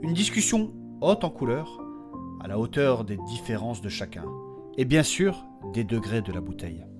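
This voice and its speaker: A man with a husky voice reading a scripted introduction as narrator and host of a fiction podcast. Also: medium pitch, very animated, normal speed, over music.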